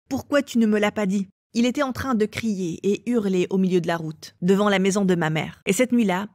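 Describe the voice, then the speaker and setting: A woman's voice: medium pitch, no echo, studio quality. A woman from England telling her own life story as an interview guest. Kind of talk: personal testimony in an interview.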